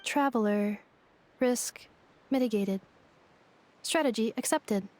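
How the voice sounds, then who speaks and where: A woman voicing a calm female android in a game, speaking in a flat, formal and precise way. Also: on the high side, slow, slight background noise. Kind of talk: game voice acting.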